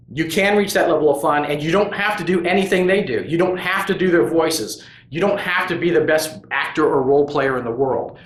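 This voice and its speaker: A man's voice: fairly high pitch, fairly bright tone, echoey room. A man hosting a tabletop gaming channel, talking casually and earnestly to camera, rambling with many restarts and fillers. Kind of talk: casual opinion monologue to camera.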